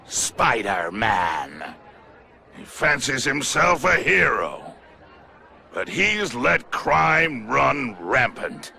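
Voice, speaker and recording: Middle-aged game tycoon: pompous, contemptuous, piercingly forceful, widely swinging intonation. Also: male voice, medium pitch, big pitch swings, creaky, some chatter behind, slight background noise, full character voice. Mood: scornful anger. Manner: pompous, formal, deliberate. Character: smug, contemptuous male crime-fighting tycoon with menacing self-importance. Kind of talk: dramatic video game cutscene acting.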